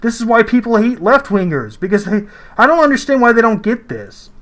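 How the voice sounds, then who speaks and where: A man's voice: extremely high pitch, lively intonation, a little fast, a bit bright. A man giving casual, opinionated reaction commentary in a blunt, argumentative, sweary way.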